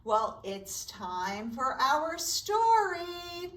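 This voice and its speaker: A woman's voice: high-pitched, very animated, drawn-out words, background noise. A woman hosting a preschool story time, speaking in simple, encouraging phrases to young children as a children's librarian. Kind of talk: sing-song preschool storytime with songs and read-aloud. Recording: roomy echo, distant microphone.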